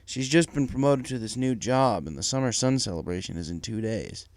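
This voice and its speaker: A man playing a worried pony father and husband, hesitant and measured, in a dramatic story reading. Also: mid-range voice, lightly acted. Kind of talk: dramatic fan fiction audio reading.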